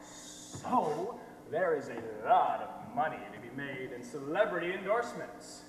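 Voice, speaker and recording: Young man acting a brash reporter, wildly animated. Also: medium pitch, measured pace, natural voice. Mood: slick, dramatic. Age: young adult. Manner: theatrical, slangy, emphatic delivery. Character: brash, fast-talking male reporter exposing a scandal. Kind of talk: amateur stage play acting. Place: school theatre stage. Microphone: distant microphone. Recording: echoey theatre hall from far off, noisy.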